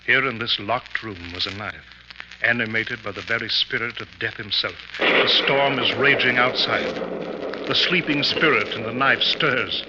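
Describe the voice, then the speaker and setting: A grave male professor played by an actor in an old radio mystery, spinning occult tales in formal, portentous phrases. His delivery is theatrical, with a medium pitch. The recording is muffled and clearly noisy, like a crackly 1950s broadcast. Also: moderate pace, lightly acted. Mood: ominous. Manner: dramatic, portentous, formal diction.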